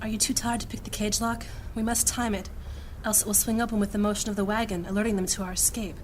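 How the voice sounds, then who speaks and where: A woman's voice: mid-range voice, level tone, fast talker, a little hiss or hum, natural voice. A woman playing a resolute, commanding mage with formal archaic diction in a fantasy audio drama. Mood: urgent, composed. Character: proud, commanding female mage, resolute and incanting spells.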